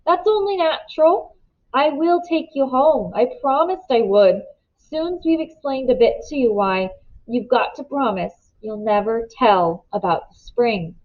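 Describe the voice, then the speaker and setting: A woman's voice: a little high, expressive, measured pace, phone-quality sound. A woman reading aloud as a motherly farm woman who fusses over the children and soothes them in homespun talk. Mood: soothing. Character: motherly middle-aged farm woman, fussing, kind.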